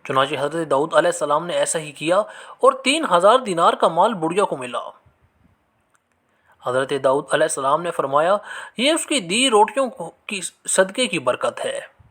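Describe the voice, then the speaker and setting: A man narrating scripted religious moral stories in an expressive, measured storytelling manner. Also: on the high side.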